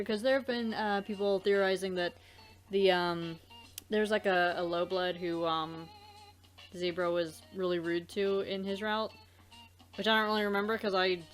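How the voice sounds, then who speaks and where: A woman hosting a let's play, chatting casually in a rambling way that often loses its thread. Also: medium pitch. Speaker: let's play host and amateur voice dubber. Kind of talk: casual rambling gameplay chat.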